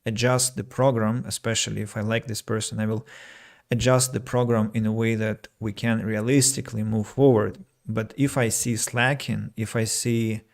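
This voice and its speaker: A man, his voice gravelly with vocal fry, talking alone on his podcast. He reflects on listeners' comments as an English teacher, with plenty of fillers and false starts. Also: lowish voice, level tone, normal speed. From Russia. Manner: reflective, reads listener comments aloud, frequent fillers and restarts. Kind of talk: solo podcast talk.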